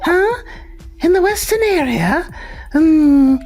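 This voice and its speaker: A woman voicing a cocky, careless young boss's son in a manga dub, breezy and excitable. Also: very high voice, slow pace, heavily acted. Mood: overconfident, flustered. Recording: music under the voice.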